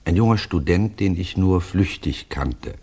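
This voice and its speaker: An actor playing a grave storyteller in a 1960s German radio drama, recounting and reading in a measured, literary manner. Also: male voice, low-pitched, animated, dark tone, husky, full character voice. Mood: grave, suspenseful.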